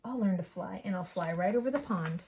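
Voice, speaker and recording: A woman's voice: on the low side, a little fast, a little mellow, slight background noise, close to an everyday voice. An earnest young frog from a storybook, read by a woman. He is polite and modest, a stubborn dreamer who speaks sincerely. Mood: determined.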